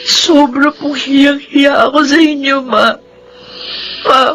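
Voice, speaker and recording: An actress playing a tearful, remorseful daughter pleading in a melodramatic Filipino radio drama. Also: female voice, high-pitched, steady tone, slow, fairly bright tone, low-quality recording. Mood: sobbing remorse. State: crying. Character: remorseful daughter tearfully begging her mother's forgiveness.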